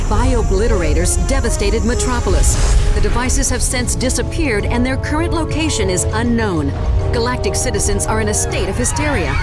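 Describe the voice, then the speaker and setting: A formal game-cartoon newsreader played by a woman, fairly high in pitch, reporting in broadcast style. Loud music plays behind her. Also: lightly acted. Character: crisp female galactic TV news reporter.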